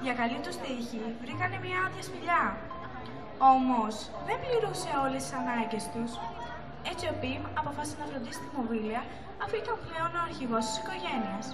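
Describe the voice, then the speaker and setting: A woman's voice: sits high, animated. A teenage girl narrating a school puppet show as a scripted story. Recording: echoey, distant mic, music behind.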